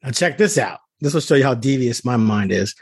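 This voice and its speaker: A man hosting a casual sports podcast, laying out his arguments point by point in an animated way. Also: mid-range voice, moderate pace, breathy edge, dry recording. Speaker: sports podcast host, beat reporter.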